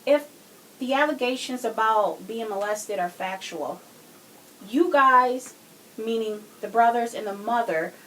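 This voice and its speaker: A woman's voice: fairly high pitch, expressive, unhurried, fairly bright tone, rough recording. Woman on a homemade podcast arguing her point, casual but emphatic, bit of background noise. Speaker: podcast co-host. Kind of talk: casual true crime discussion.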